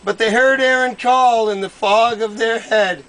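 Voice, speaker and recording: High-voiced man slowly reading comic verse. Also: fairly even intonation, fairly bright tone, a little hiss or hum, low-quality recording. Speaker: poem reader. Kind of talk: reading a comic rhyming poem aloud to friends.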